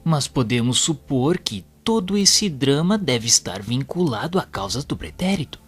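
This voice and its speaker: A man as an animated, earnest spirit student. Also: mid-range voice, normal speed, husky, faint music behind. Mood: thoughtful. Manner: formal, respectful, expressive. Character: earnest, inquisitive male spirit student who narrates his learning. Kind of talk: audio drama dialogue and literary narration.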